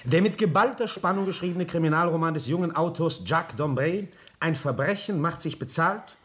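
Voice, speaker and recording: An actor playing a cocky, witty young crime writer from a rich family in a radio play, teasing and self-assured. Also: male voice, on the high side, brisk, a bit bright, natural voice. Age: young adult. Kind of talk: German radio play acting.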